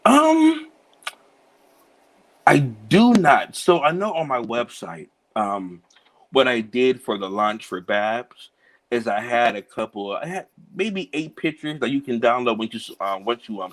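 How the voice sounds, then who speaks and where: A man in his thirties from Cincinnati, a children's book author answering interview questions in a relaxed way, laughing easily and filling pauses with "um" and "you know". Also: mid-range voice. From Cincinnati, USA.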